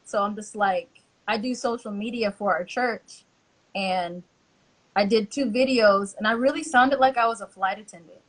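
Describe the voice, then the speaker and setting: A woman hosting a casual live-stream chat, telling animated stories and acting out quotes. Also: medium pitch, normal speed, poor audio. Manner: lively storyteller who acts out quotes. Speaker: host, church social media helper. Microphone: live-stream call.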